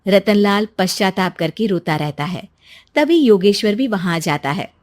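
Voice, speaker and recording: A woman's voice: mid-range voice, lively intonation, moderate pace, darkish tone. A woman narrating a scripted moral story as its storyteller.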